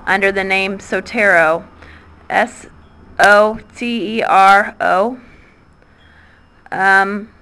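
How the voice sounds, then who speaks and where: A woman's voice: medium pitch, bright, forceful tone, clear, resonant tone. A woman in her thirties with a Midwestern American accent talks in a rambling monologue. She hesitates constantly, filling gaps with ums and restarting her sentences. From Midwestern United States. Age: 30s. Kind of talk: rambling recorded voice message.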